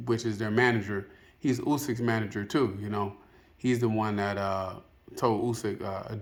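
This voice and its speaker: Man, low-pitched voice, casual sports commentary, unscripted, halting and rambling, filler-heavy. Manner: hesitant, rambling, peppered with "you know". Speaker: boxing commentary video host.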